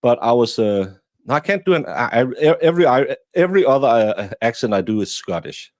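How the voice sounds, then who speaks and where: A man's voice: mid-range voice, big pitch swings, a little fast, a little mellow, dry recording, studio quality. A man from Denmark, a guest commentator chatting bluntly with many restarts. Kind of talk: casual commentary chat.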